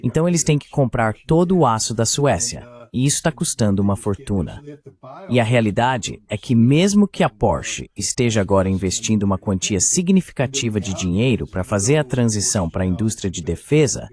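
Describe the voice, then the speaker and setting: A man's voice: mid-range voice, big pitch swings, moderate pace, a little mellow. A man with a Brazilian accent gives dubbed political commentary in an emphatic, repetitive way. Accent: Brazilian Portuguese. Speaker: guest commentator.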